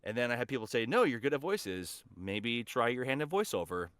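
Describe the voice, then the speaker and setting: A man's voice: mid-range voice, very animated, brisk, fairly bright tone, clear, resonant tone, no echo. A man chatting casually as a voice actor guest on a podcast, speaking in an enthusiastic, earnest way.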